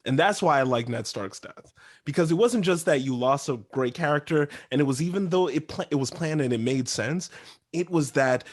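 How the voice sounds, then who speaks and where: A man's voice: a little high, moderate pace. A man on a podcast panel sharing his opinions in an earnest, emphatic, casual way. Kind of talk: casual fan discussion.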